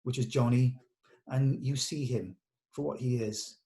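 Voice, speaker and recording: A man's voice: mid-range voice, steady tone, a little slow, dark tone. A British man speaking informally and earnestly, like a director encouraging actors at a table read, with blunt colloquial turns. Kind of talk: informal character briefing to actors.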